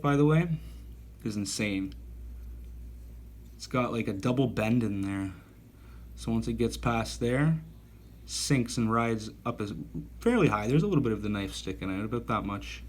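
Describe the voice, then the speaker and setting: A man's voice: on the low side, expressive, moderate pace. A man in his thirties giving a casual gear review, talking in a rambling, self-correcting and enthusiastic way. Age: 30s. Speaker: knife reviewer.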